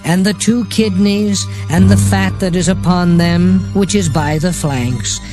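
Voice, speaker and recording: A man narrating an audiobook Bible in a formal, measured, solemn scripture-reading style. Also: sits high, over music.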